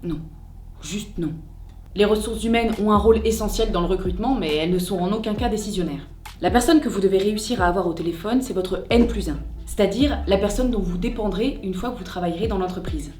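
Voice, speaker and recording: A woman's voice: medium pitch, fairly quick, darkish tone, roomy sound, a little hiss or hum. A woman presenting a scripted tutorial in a direct, conversational way with wry, playful humour. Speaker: presenter of job-search tutorial.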